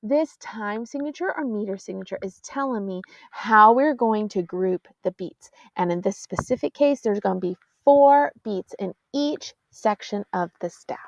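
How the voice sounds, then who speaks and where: A choir teacher, patiently explaining sheet music to middle school singers and counting beats aloud. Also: female voice, mid-range voice, lively intonation, normal speed, creaky, few pauses, no room sound. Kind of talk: classroom music lesson. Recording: dull, dry lo-fi audio.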